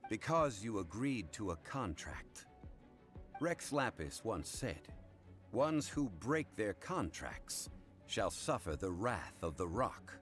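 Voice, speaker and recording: An actor playing a calm, dignified male scholar and consultant in an anime game, speaking formally and in a measured way. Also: lowish voice. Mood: stern. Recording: music behind the voice.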